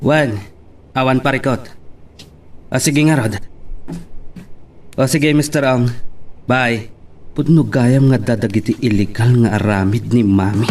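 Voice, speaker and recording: A man's voice: mid-range voice, measured pace, pauses often, darkish tone. A man with an Ilocano accent acting the troubled son of a crime boss in a radio drama. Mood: businesslike. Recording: clear background noise.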